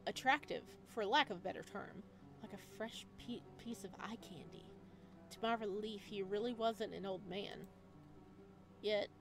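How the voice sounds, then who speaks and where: A woman doing a let's play, reading story text aloud and adding expressive, chatty reactions in a casual style. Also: medium pitch. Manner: expressive, chatty asides between read passages.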